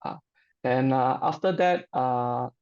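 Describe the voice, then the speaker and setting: A middle-aged man with a Malaysian accent, giving an informal personal testimonial in a halting, hesitant way. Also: medium pitch, clear, resonant tone, muffled recording.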